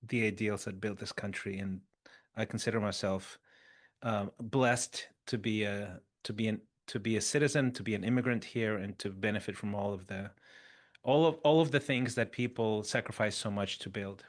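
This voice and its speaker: A man in his fifties, a podcast guest, telling his story in an animated, conversational way and restarting phrases as he thinks. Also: fairly low pitch, normal speed. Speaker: interview guest.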